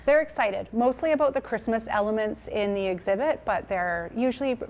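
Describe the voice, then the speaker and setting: A woman's voice: a little high, fairly quick, darkish tone, slight background noise. A woman, speaking as a museum curator explaining in an interview. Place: indoors at a museum.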